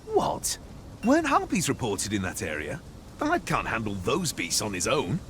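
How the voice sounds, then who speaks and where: Very animated, blunt fantasy soldier. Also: male voice, fairly low pitch, moderate pace, a little hiss or hum. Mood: concerned, alarmed. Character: gruffly caring male fantasy soldier, concerned comrade. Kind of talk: scripted fantasy game dialogue, archaic English.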